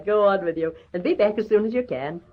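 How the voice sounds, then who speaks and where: A woman's voice: medium pitch, lively intonation, moderate pace, loud music behind the voice, close to an everyday voice. An actress playing an affectionate, teasing woman of a doctor's household in an old radio drama. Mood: fond, teasing. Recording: dull, lo-fi old radio recording.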